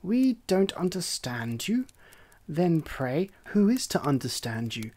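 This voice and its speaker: A storyteller voicing a conceited, bossy hen who lectures in a raised, high voice. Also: sing-song, takes it slowly, darkish tone. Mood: scolding. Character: bossy conceited hen, scolding and self-important. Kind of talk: storybook reading.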